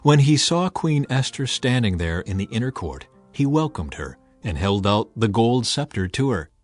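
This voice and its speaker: A man giving a dramatized scripted narration of scripture, with solemn, deliberate phrasing. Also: mid-range voice, sing-song. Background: faint music.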